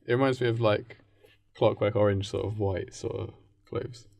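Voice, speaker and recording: Creaky British man, chatty. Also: on the low side, fairly even intonation, moderate pace, a little mellow, slight background noise. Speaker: podcast co-host. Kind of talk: casual comic storytelling.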